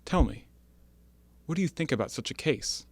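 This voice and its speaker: A man's voice: fairly high pitch, expressive, normal speed, breathy edge, no echo. A man acting an anguished, earnest former convict in an audio play, with formal, declamatory diction. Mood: bewildered, intense.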